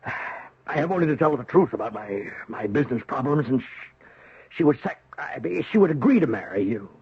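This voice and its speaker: A very husky man in a 1970s radio drama. His delivery is earnest and dramatic, and the old broadcast recording sounds dull. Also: medium pitch, very animated, a little fast, full character voice. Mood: desperate, pressing. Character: calm psychiatrist, and a desperate overextended businessman father.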